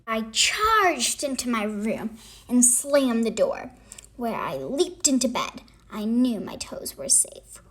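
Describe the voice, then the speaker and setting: A woman reading a children's story aloud, narrating expressively with dramatic, deliberate phrasing. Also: on the high side, sing-song, fairly bright tone, slightly rough. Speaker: storybook reader.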